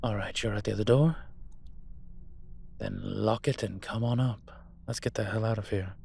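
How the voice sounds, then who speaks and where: A man playing a suave, teasing demon professor in an audio roleplay, with a wry, conversational delivery and a husky voice. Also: lowish voice, steady tone, normal speed, darkish tone, slight background noise. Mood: wry, musing. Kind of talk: intimate audio roleplay acting.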